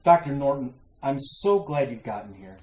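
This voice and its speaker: A man's voice: mid-range voice, lively intonation, moderate pace, a little mellow, natural voice. An earnest, worried husband played by a man protests in the manner of an old horror radio play. His hesitant, reasonable speech swings widely up and down. The sound is roomy and echoing. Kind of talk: retro radio horror acting.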